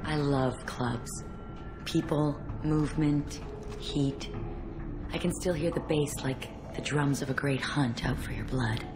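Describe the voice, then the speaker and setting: An actress playing a seductive, predatory alien killer in a video game, with slow, sultry, intense phrasing. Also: female voice, very deep voice, over music, full character voice. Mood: menacing, sultry.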